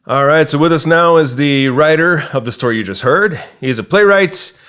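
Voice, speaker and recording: A man's voice: on the high side, normal speed, fairly bright tone, clean tone. A man hosting a podcast, reading introductions and putting questions to a guest in a presenter's conversational style. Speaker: podcast host. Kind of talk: scripted introduction and interview hosting.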